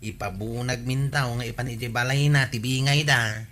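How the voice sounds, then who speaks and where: An actor playing a sly, evasive village schemer in an Ilocano radio comedy drama, with an Ilocano accent. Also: male voice, medium pitch, fairly even intonation, moderate pace, fairly bright tone, close to an everyday voice. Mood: boastful.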